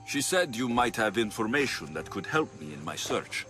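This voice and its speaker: A man's voice: lowish voice, very animated, moderate pace, bright, forceful tone, soft background music. A man playing a determined young male mercenary hero who talks directly and earnestly in a game cutscene. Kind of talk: video game cutscene acting.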